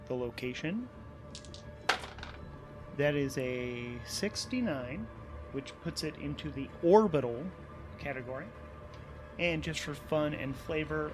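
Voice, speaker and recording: A man with a somewhat high-pitched voice narrates a solo tabletop game as its host. His delivery is casual and improvised, thinking aloud and doubling back on words as he works things out. There is faint noise in the background of the recording.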